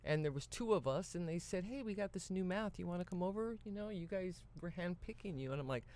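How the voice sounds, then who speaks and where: A woman's voice: lowish voice, animated, quick pace, warm tone, slight background noise. A middle-aged woman co-hosting a livestream, telling personal anecdotes in a chatty, plain-spoken way. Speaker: livestream co-host. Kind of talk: casual chat, personal anecdotes.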